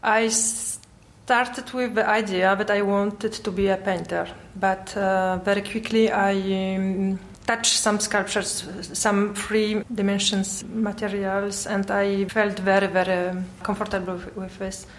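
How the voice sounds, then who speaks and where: A Polish-accented woman in her forties speaks English as a second language, pausing often to find her words. Her pitch stays level and flat, in a middle register. She answers questions in a halting, thoughtful way. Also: a little hiss or hum. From Poland. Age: 40s. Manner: hesitant, halting, searching for words. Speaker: artist guest. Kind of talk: interview answers.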